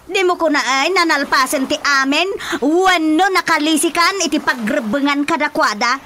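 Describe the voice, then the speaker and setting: A woman acting a defiant, fed-up wife in a radio drama, sharp-tongued and confrontational. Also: high voice, moderate pace, a little hiss or hum. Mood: angry, defiant. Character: defiant, fed-up battered wife standing her ground.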